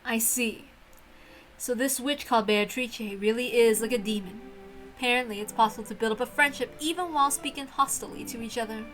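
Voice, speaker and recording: A woman reading the inner thoughts of a young servant girl as measured, reflective first-person visual novel narration. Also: fairly high pitch, fairly bright tone, close to an everyday voice, low-quality recording. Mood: musing. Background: music.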